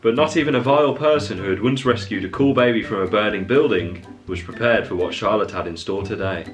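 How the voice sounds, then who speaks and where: A man's voice: lowish voice, a little fast, over music. A man reading stories dramatically and bantering in a playful, amused way, often laughing. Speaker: vlogger, co-reader with his sister.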